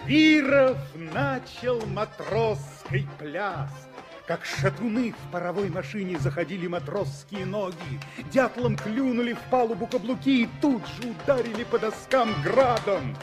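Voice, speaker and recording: A man's voice: high voice, lively intonation, a little slow. A man as the lyrical storyteller narrating a 1960s Soviet radio play in dramatic, scripted style. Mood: stirred. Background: music.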